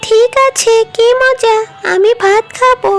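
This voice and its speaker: A woman's voice: very high-pitched, steady tone, takes it slowly, soft background music, full character voice. A woman voicing a hungry little village girl in a children's cartoon, with a childlike, whiny and eager manner. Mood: happy, eager.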